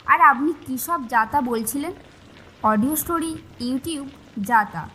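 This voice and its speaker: A woman's voice: high-pitched, takes it slowly, sharp tone, slight background noise, heavily acted. A woman playing a grave, mysterious, bookish lady in an audio horror story, speaking in a serious, formal manner. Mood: puzzled, dismissive.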